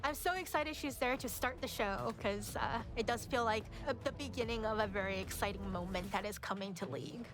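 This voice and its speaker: A woman's voice: fairly high pitch, a little fast, hardly any pauses, over music. A woman speaking as a game developer in a promotional interview.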